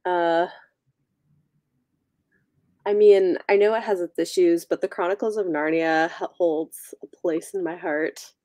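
Young woman, lowish dry voice. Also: steady tone, a little slow, pauses often, mellow, no echo. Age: young adult. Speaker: book discussion co-host. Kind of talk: casual livestream chat about books. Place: cosy room with bookshelves at home, indoors. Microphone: desk microphone on a video call.

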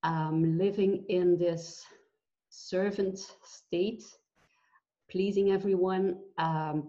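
A woman from Belgium in her forties, a guest telling her story with pauses and fillers over a video call from home. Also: on the low side, mellow. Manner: earnest, reflective, with many pauses and fillers. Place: bright room at home. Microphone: video call webcam. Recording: dull lo-fi video call audio.

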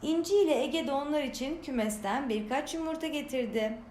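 A woman reading a scripted children's story aloud as storyteller, with an expressive delivery. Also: sits high, fairly quick. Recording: roomy echo, clear background noise.

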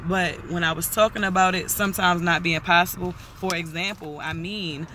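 A woman's voice: on the low side, moderate pace, a little hiss or hum. A woman in her thirties, a trucker, chatting casually to her phone camera with lots of fillers, first in a gym and then sitting in a car. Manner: rambling, relaxed, many fillers. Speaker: trucker vlogger. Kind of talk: casual vlog talk to camera. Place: large gym indoors; inside a parked car. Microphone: phone camera at arm's length.

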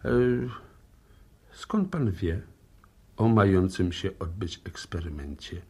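An actor playing a probing, politely insistent police inspector in a radio play, formal and dry in manner. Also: male voice, low-pitched, big pitch swings, takes it slowly, warm tone, husky, full character voice. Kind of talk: 1960s Polish radio play acting.

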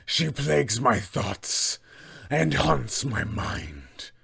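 A man's voice: mid-range voice, sing-song, extremely slow, vocal fry, a bit bright, slightly rough, full character voice. A man voicing a big, menacing villain enforcer from a tabletop game, threatening and commanding in his delivery. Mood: haunted brooding. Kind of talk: acted tabletop villain dialogue.

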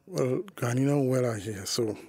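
A man playing a smooth-talking, evasive young sweetheart on the run in a radio drama. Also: medium pitch, normal speed, darkish tone, no room sound, studio quality. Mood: reluctant, weary.

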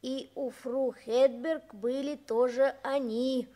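A nine-year-old runaway boy in a 1960s radio play. The voice is high and boyish. He speaks slowly and carefully, earnest and a little halting. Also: fairly even intonation, mellow. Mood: reading aloud.

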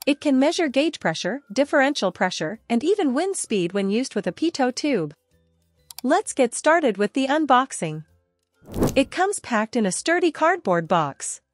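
Woman, high voice, scripted product narration, steady even delivery, dry close mic, faint music behind. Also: dry recording. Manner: steady, even reading of long spec lists. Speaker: product reviewer.

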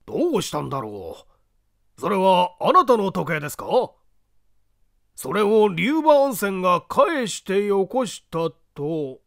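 A man reading an audiobook, voicing an excitable, polite gentleman with an exclamatory manner. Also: high voice, gravelly, pitched above the performer's own voice, full character voice. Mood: surprised. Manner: exclamatory, mocking politeness, drawn-out guffaws. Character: excitable, polite Japanese gentleman, astonished then heartily amused.

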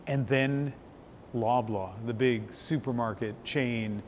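A man's voice: medium pitch, steady tone, slow, a little hiss or hum. A man in his forties interviewing a guest for a TV news piece, asking probing, conversational questions. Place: grocery store interior.

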